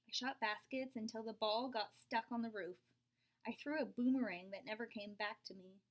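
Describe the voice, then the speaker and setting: A woman reads a children's picture book aloud in a fairly high voice. Her telling is expressive, slipping into dramatic whispers and leaping up in pitch for emphasis. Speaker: storyteller reading aloud.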